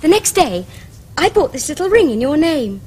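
A woman's voice: high-pitched, sing-song, normal speed, a little mellow, slight background noise. An actress playing a prim, romantic young Victorian lady in a period comedy, with precise, formal Received Pronunciation. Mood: dreamy, matter-of-fact. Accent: English Received Pronunciation. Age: young adult. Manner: precise, formal, earnest diction. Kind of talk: period stage comedy acting for television.

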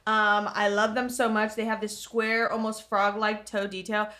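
A woman's voice: medium pitch, level tone, bright, forceful tone, slight background noise. A young woman in her twenties hosting a podcast, chatting casually with frequent laughter and playful teasing. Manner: giggly, teasing, quick-witted. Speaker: podcast host. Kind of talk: casual comedic chat. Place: small studio room and outdoors in woods. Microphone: desk mic on boom arm, handheld mic outdoors.

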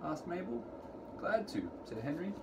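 A middle-aged man reading a children's picture book aloud to preschoolers in deliberate, sing-song storytelling phrasing, recorded in a room at home. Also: fairly high pitch, very animated. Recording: clearly noisy home recording, room ambience.

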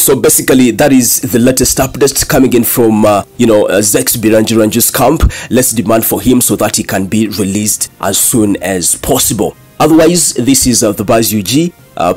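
Ugandan male news vlogger, hoarse with a cold, chatty delivery. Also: medium pitch, a little mellow. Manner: conversational, direct to viewers. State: hoarse from cough and flu. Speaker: news vlog host. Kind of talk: news update narration.

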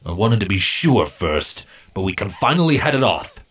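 A man's voice: on the low side, sing-song, a bit bright, husky, exaggerated voice. A man voicing a brooding, firm male changeling squad leader in a fantasy story. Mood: stern, brooding. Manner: firm, terse, deliberate.

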